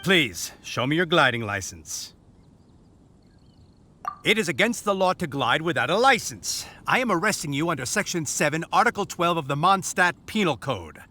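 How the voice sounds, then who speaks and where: A man's voice: a little high, very animated, normal speed, background noise. A man voicing a stiff, officious knight in a game, speaking in a formal, by-the-book way.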